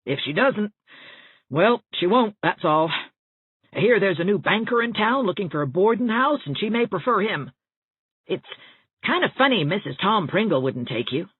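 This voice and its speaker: A woman's voice: medium pitch, very animated, moderate pace, husky, phone-quality sound, voice pushed up. A woman voicing a chatty, well-meaning older small-town busybody in an audiobook, with a folksy, rambling, confiding manner. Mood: gossipy, confiding. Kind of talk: audiobook character dialogue. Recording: thin band-limited audio, roomy echo.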